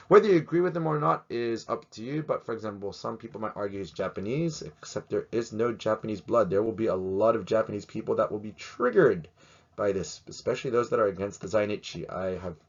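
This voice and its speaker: Chatty low-voiced male teacher. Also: vocal fry, highs cut off. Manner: chatty, jokey, asks many rhetorical questions. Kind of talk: casual educational talk to camera.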